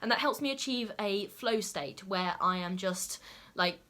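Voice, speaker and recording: Bright-voiced young woman vlogging chattily. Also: medium pitch, measured pace. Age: young adult. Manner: chatty, many "like" and "okay?" asides. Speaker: lifestyle YouTuber. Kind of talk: casual talk to camera.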